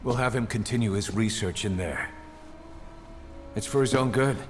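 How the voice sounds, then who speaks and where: Guy playing calm analytical army interrogator, really low voice, big echo, game cutscene. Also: lightly acted. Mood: cool, guarded. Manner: measured, matter-of-fact. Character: coolly analytical male military interrogator briefing his commander. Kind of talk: dramatic video game acting. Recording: long echoing reverb under music. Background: music.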